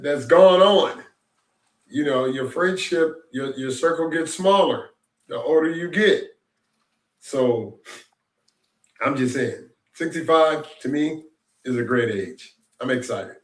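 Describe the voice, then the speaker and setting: A man's voice: on the high side, slow pace, long pauses. A man in his sixties hosting a casual reflective chat, rambling and repeating himself in an upbeat way. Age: 60s. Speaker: host. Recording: echoey room.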